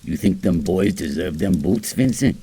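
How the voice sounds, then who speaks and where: An actor playing a menacing young vagrant thug in an audio drama, gravelly and mock-friendly. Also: male voice, deep voice, normal speed, mellow, a little hiss or hum. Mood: sly menace. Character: menacing young male vagrant thug, sneering ringleader brother.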